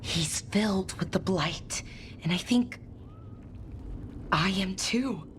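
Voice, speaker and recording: Freed captive mage played by a woman for a game: medium pitch, slow, halting, earnest, slight background noise. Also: natural voice. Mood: distressed. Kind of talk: dramatic game cutscene acting. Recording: faint ambient noise behind the voice.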